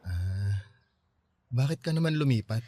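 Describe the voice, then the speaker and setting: A man's voice: mid-range voice, animated, unhurried, warm tone, no echo, clean studio sound. An actor playing a shy, sheltered, nerdy young man with a Filipino accent, speaking hesitantly in a radio drama. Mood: curious, mild. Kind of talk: Tagalog radio drama acting.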